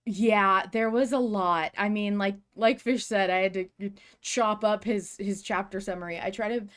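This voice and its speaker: A woman hosting a casual podcast chat in a playful, expressive way, swearing casually. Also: mid-range voice, moderate pace. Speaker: podcast host.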